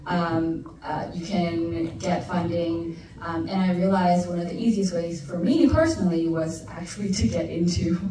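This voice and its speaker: A young woman from Alaska telling her story as a panelist, thoughtful with many ums and pauses. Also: lowish voice. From United States (Alaska). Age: young adult. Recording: echoey room from far off with noise.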